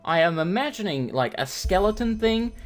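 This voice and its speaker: A man's voice: sits high, moderate pace, faint music behind. A British man hosting a gameplay video, joking, swearing and telling rambling stories as he plays. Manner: profane, joking, animated storytelling. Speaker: gaming video host. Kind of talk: let's play commentary, rambling anecdotes.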